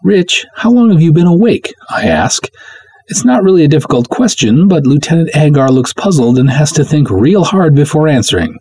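A man's voice: on the high side, very animated, moderate pace, darkish tone, breathy edge, voice pushed up, full character voice. A man reading a first-person serial story as a chatty, wry woman confiding in a friend.

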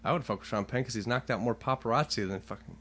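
A man co-hosting a casual podcast, talking louder than his partner and joking with plenty of swearing. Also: medium pitch, fast. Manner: louder, leans into the mic, joking and profane. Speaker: podcast co-host. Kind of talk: casual chat.

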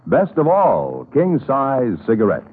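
A man's voice: mid-range voice, sing-song, slow pace. A man announcing in an emphatic, formal 1950s radio style. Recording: tinny old radio recording, faint music.

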